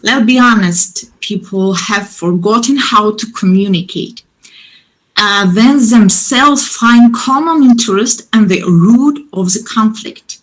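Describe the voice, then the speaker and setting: A woman's voice: medium pitch, low-quality recording. Hesitant woman giving a conference talk. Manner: halting, with frequent restarts and fillers. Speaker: conference speaker.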